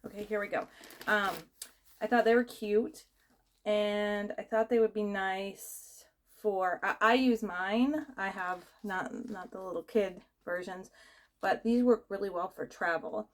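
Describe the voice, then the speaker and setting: A woman's voice: medium pitch, steady tone, a little slow. A young woman with a cold chatting casually as she unboxes items, rambling with many fillers and restarts. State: has a cold, losing her voice. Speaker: vlogger.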